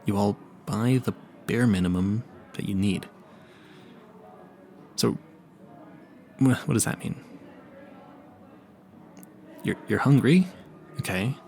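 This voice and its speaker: Man playing a flustered, low, creaky-voiced vampire hunter. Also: darkish tone. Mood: curious, awkward. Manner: flustered, stammering, halting and self-correcting. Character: nervous, smitten, clueless young male vampire hunter. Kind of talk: audio roleplay, spoken intimately to the listener.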